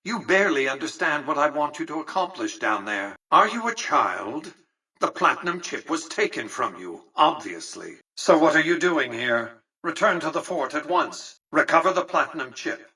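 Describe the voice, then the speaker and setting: Old rich overlord for a video game, husky but sharp voice, pompous and condescending, bit far from the mic. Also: male voice, a little high, very animated, moderate pace, heavily acted. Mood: scornful, impatient. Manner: formal, pompous, precise diction. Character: imperious elderly male tycoon ruling a casino city, coldly calculating. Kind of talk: scripted game dialogue.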